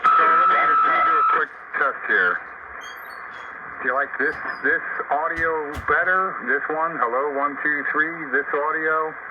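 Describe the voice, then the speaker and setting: A man's voice: fairly high pitch, unhurried. A man hosting a radio round-up in casual, conversational chat over a two-way radio. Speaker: amateur radio net host. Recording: noisy, muffled two-way radio transmission.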